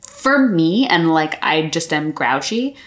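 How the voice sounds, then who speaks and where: A young woman co-hosting a casual podcast, grumbling expressively and firing quick questions. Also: low-pitched, very animated. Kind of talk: casual chat.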